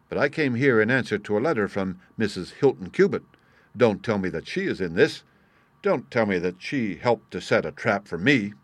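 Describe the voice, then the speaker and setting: A man's voice: on the low side, no room sound. A man voicing a proud, swaggering American criminal in an audiobook, blunt and defiant in his speech. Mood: bitter. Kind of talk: audiobook character dialogue.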